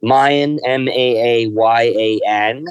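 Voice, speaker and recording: A middle-aged man answering interview questions as a lecturer, thoughtful and halting, with many fillers. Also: mid-range voice, fairly even intonation, clean tone, dry recording, studio quality. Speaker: university lecturer guest.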